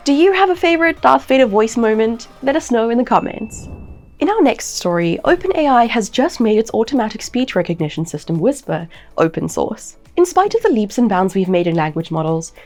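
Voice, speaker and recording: Female news presenter, very animated intonation, clear articulation, scripted roundup read over faint music. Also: medium pitch.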